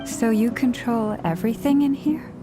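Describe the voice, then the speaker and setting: A woman playing a polite, gentle and composed antlered fantasy character in a video game, courteous and inquisitive. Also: fairly high pitch, moderate pace, over music, close to an everyday voice. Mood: curious.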